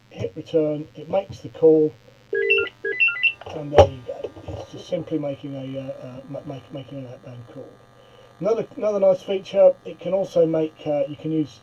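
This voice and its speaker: Male tutorial presenter, middle-aged, very mellow and slightly high-pitched, stumbles and repeats words, muffled lo-fi sound. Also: slight background noise. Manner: halting, often stammers and repeats words. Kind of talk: informal product demonstration.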